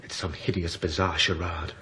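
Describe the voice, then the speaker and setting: An actor playing an indignant, earnest British lover in a radio drama, speaking with emphatic theatrical intensity. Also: male voice, deep voice, little pitch movement, moderate pace, sharp tone, a little hiss or hum. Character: indignant, earnest man in love with a married woman.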